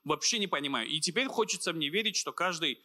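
A man in his thirties from Dagestan, a writer and guest, speaking earnestly and thoughtfully in an interview, pausing with fillers. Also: a little high, lively intonation, pushed and bright. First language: Avar. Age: 30s. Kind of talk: reflective interview conversation.

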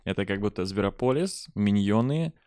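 A man chats informally as a podcast guest. His voice sits on the low side, recorded dry with no room sound. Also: expressive, normal speed, darkish tone, no echo. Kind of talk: casual chat.

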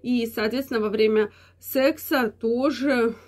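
A woman's voice: a little high, steady tone, unhurried, darkish tone. A woman hosting an advice vlog, explaining things to viewers in a repetitive, emphatic way, her voice affected by a slight cold. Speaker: channel host giving advice. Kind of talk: explanatory talk to camera for viewers.

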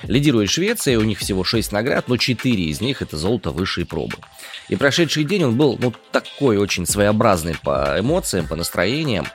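Male news podcast host, lower voice with a lively swing, chatty delivery. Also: a little fast, soft background music. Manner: lively, chatty, rises in pitch for emphasis.

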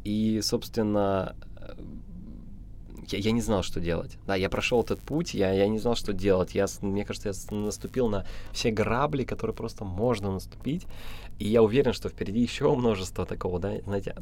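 Guy with a lowish voice doing coaching podcast talk, halting, repeats stuff, bit of background noise. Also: darkish tone. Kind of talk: informal motivational advice talk.

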